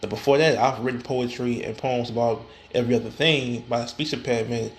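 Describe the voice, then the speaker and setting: A man with a gravelly, mid-pitched voice talks straight to his listeners on his own podcast. He often stalls and repeats words as he goes. A faint hiss sits under the recording. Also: steady tone, a little fast. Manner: frequent fillers and restarts, stammering repetitions. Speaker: podcast host. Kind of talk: casual solo podcast talk.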